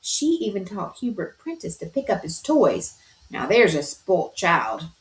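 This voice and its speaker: A woman's voice: fairly low pitch, very animated, unhurried. A woman voicing a gossipy housemaid with a put-on brogue, read aloud from a storybook. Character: chatty Irish housemaid woman. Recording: roomy echo.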